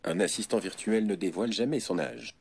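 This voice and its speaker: Male voice assistant: very low, smooth and synthetic, steady canned delivery, heard through a noisy phone speaker. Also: a little fast. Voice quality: smooth synthetic text-to-speech voice. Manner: evasive, witty canned replies.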